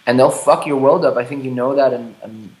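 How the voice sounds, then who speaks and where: A man in his late thirties speaks in a mid-pitched voice, halting and reflective, often circling back and restarting his sentences. He swears casually as he talks. The recording carries a noticeable room echo. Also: a little mellow. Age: 30s. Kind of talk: candid conversation. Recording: roomy echo.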